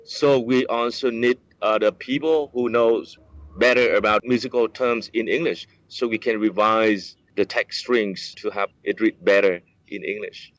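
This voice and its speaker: A man's voice: on the low side, steady tone, unhurried, dry recording. English speaking guy, lots of uh and um, kinda muffled dry audio. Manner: hesitant, many fillers and restarts. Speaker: app developer, interviewee. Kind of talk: explaining, casual interview. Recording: slightly dull, lo-fi sound.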